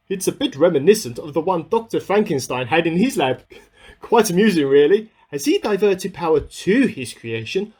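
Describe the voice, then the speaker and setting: A man acts out an eccentric amateur scientist in a radio play. His voice is fairly high and very lively in pitch, with a slightly husky edge. He speaks his diary entries earnestly and with some self-importance, musing aloud as he goes. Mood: pleased, expectant.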